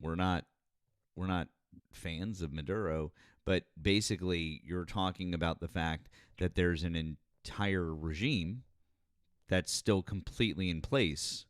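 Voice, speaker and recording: A man's voice: low voice, unhurried, dry recording, clean studio sound. A man hosting a live political call-in stream, hesitant and full of fillers, then asking pointed questions. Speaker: host.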